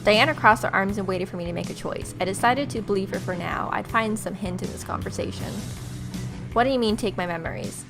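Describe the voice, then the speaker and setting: Young woman, mid-pitched voice, let's play narration, expressive and dramatic, sarcastic asides, faint music behind. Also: fairly quick. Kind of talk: reading visual novel text aloud with casual reactions. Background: game music.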